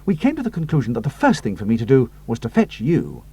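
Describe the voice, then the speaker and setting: A man's voice: mid-range voice, huge pitch swings, quick pace, warm tone, husky, exaggerated voice. A man voicing a loyal, cautious pilot sidekick who talks earnestly. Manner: earnest and a little doubtful.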